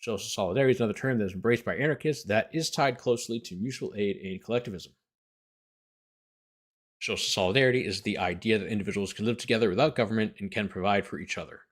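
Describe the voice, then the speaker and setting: A man's voice: fairly low pitch, fast talker. A man hosting as a lawyer, reading a document aloud and breaking off for incredulous, sarcastic commentary. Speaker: lawyer host.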